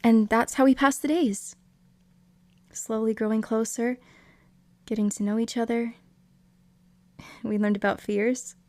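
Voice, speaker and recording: Woman acting a shy, higher-voiced young hospital volunteer. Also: fairly even intonation, unhurried, long pauses, warm tone, dry recording, close to an everyday voice. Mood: reflective. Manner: hesitant, stammering, apologetic. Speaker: female lead and narrator of an audio drama. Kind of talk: audio drama acting with reflective first-person narration.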